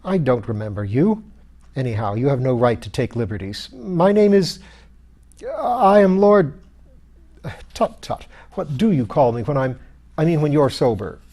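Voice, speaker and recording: A man voicing a pompous, irritable, hard-drinking skeleton lord in an audiobook, rough and blustering in old-fashioned aristocratic speech. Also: mid-range voice, big pitch swings, measured pace, warm tone. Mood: blustering, confused. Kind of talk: audiobook character voice.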